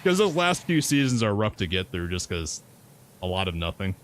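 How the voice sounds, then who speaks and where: Deep-voiced male co-streamer, relaxed and unhurried, faint noise behind. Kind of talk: casual chat while gaming.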